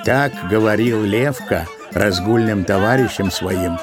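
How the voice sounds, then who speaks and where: A man narrating a literary audio drama in a measured, dramatic manner. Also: on the low side, loud music behind the voice.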